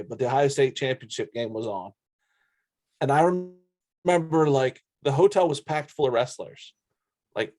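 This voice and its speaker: A man's voice: mid-range voice, darkish tone. A man co-hosting a sports podcast, chatting casually and telling sports stories. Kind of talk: casual sports chat and anecdotes.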